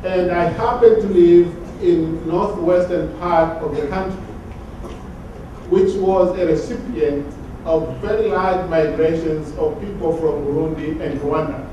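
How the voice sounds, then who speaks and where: A man from Tanzania giving an academic conference talk, measured and sometimes repeating words as he searches for phrasing. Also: on the high side, steady tone, warm tone, slight background noise. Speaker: conference speaker. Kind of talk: academic lecture. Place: large conference hall. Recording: very echoey hall from far off.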